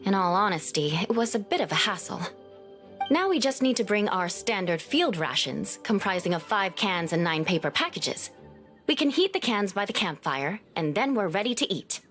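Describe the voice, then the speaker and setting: A proud young female knight captain from a video game. She speaks formally and precisely, with a dutiful, composed air. Her voice sits at a middling pitch, with music playing behind it. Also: sing-song, fairly bright tone. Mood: composed, explanatory. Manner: measured, formal, articulate. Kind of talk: scripted game dialogue.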